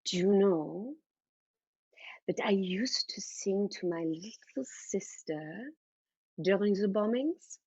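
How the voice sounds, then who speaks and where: A woman's voice: mid-range voice, slow pace, pauses often, darkish tone, husky, dry recording, lo-fi sound. A woman voicing her elderly mother in a personal story, with a dramatic, sentimental, blunt manner. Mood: wistful, wry. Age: old woman. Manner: theatrical, sentimental, non-native phrasing.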